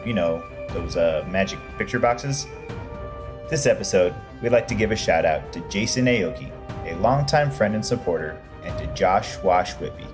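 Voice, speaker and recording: Man playing a low-voiced, folksy dwarf adventurer. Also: close to an everyday voice. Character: friendly, bumbling, boastful male dwarf warrior adventurer. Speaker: in-character announcer. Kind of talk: in-character audio drama announcements and recap.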